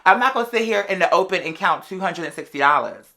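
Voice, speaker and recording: Bright, sassy storyteller in full gossip mode. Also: mid-range voice, fast. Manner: animated, sassy, slangy, addressing listeners as "girl". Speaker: podcast or live show host. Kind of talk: casual storytelling monologue to an audience.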